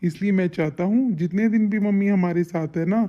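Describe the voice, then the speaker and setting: A woman's voice: a little fast, natural voice. A woman voicing a devoted, protective adult son in a melodramatic family cartoon, with an emphatic, insistent manner. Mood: firm, devoted. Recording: dull, low-fidelity sound.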